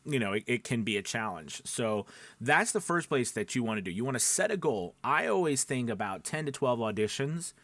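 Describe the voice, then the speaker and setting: A man's voice: mid-range voice, sing-song, fairly quick, fairly bright tone, no echo. A man giving casual, instructional advice as a voice-over coach, conversational and often checking in with the listener. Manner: conversational, frequent check-in tags like right and okay. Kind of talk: casual instructional chat to camera.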